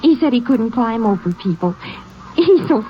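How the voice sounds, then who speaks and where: An actress playing an eager young high school girl usher who gushes and stumbles over her words, in an old radio drama. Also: animated, normal speed, soft background music, close to an everyday voice. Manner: breathless, gushing, hesitant starts. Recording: noisy dull old radio broadcast.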